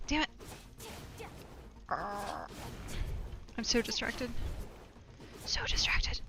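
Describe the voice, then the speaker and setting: Woman game streamer, high-pitched, unhurried pace, chatty, exclamatory, talking to herself, casual gameplay commentary, faint noise behind. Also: animated, vocal fry.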